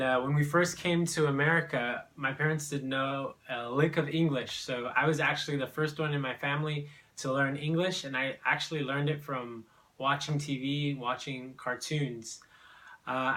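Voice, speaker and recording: A man's voice: on the high side, a bit bright. A young man from Brazil, telling his own immigration story in an unscripted, hesitant way full of fillers and restarts. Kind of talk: personal testimony, unscripted.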